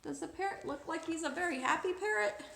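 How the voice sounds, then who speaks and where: A woman's voice: very high voice, a little fast, creaky, fairly bright tone, a little hiss or hum. A woman reading a picture book aloud to children expressively, drawing out words and putting questions to her listeners. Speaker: storyteller, children's read-aloud host. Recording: echoey room.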